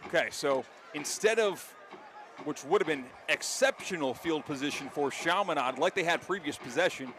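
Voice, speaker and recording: A man with an American accent and a fairly high voice calls football play-by-play in a descriptive, animated way. It is a live outdoor stadium broadcast with loud noise all around him. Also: normal speed. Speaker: play-by-play announcer.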